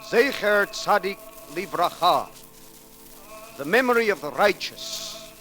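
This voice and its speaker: A man delivering a solemn, oratorical eulogy in formal measured phrases on a 1930s radio recording. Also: high voice, lively intonation, bright, forceful tone, low-quality recording. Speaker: eulogist at a memorial service. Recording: 1939 radio recording with faint music.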